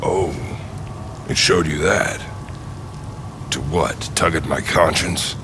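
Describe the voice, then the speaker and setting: A man voicing a big, cantankerous non-human brute in a video game, gruff, gravelly, sarcastic and bitter toward humans. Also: extremely low pitch, slow pace, noisy background. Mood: low, brooding. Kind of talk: video game cinematic acting.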